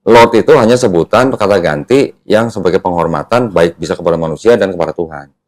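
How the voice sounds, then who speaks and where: A man's voice: sits low, brisk, darkish tone. A man hosting a religious discussion, explaining step by step in a didactic, conversational way and checking that his listener follows. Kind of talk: conversational teaching with leading questions.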